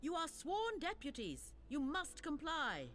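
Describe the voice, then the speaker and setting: A dignified, commanding protector presiding in a fantasy game. She speaks formally and deliberately. Her high voice moves with lively emphasis. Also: exaggerated voice. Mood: stern, commanding. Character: stern, dignified female council protector presiding. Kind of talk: fantasy video game voice acting.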